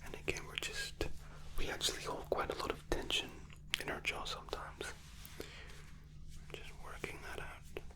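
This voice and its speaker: A man performing a whispered ASMR spa roleplay as a therapist, gentle, soothing and softly reassuring. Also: moderate pace.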